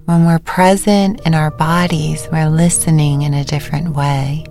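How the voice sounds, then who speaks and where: A woman meditation teacher giving gentle, unhurried guided instructions with pauses between phrases. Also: sits low, steady tone. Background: music.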